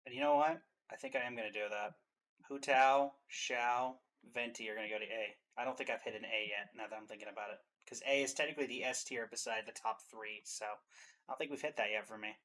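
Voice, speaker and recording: A casual reaction-video host with a medium-pitched voice. The talk is conversational and deliberating, often reconsidering out loud. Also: animated. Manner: deliberates aloud, changes mind mid-thought.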